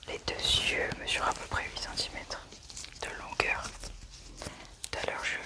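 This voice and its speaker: A person whispering an ASMR medical roleplay as an examiner, methodically and softly narrating each step. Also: normal speed. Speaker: ASMR roleplayer acting as an examiner doing an alien autopsy.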